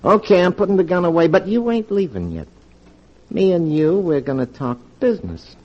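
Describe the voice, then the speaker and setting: A man's voice: sits high, lively intonation, normal speed. An actor playing a tough male street robber with streetwise gangster slang, in a 1940s radio drama. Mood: menacing. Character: tough-talking male street stick-up man. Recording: dull vintage radio recording with music.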